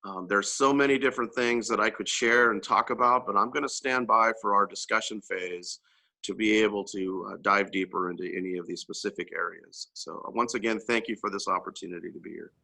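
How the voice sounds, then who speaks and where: Earnest man with a bright voice. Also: fairly low pitch, a little fast, creaky. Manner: earnest, heartfelt. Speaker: panelist, public servant. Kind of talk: prepared panel remarks.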